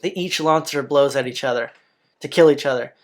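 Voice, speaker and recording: A man's voice: mid-range voice, fairly quick. A man hosting a let's play, reading visual novel narration aloud. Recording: music playing behind the voice. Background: game soundtrack.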